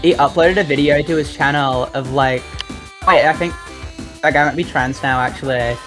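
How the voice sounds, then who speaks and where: A mid-pitched speaker rambles through retellings in a casual chat, slipping into acted-out quotes along the way. Loud video game music plays behind the voice. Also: normal speed. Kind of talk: casual chat over gameplay.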